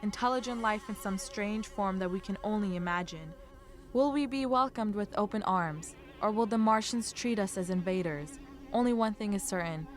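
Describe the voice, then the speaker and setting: A woman's voice: mid-range voice, natural voice. Woman as a portentous radio anthology announcer. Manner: dramatic, portentous. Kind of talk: old-time radio drama narration.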